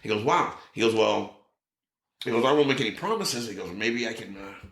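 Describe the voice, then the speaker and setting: A middle-aged man telling a story in casual chat, acting out quotes with a raised voice. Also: fairly quick. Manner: animated, acts out quotes with raised pitch. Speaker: podcast guest. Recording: roomy echo.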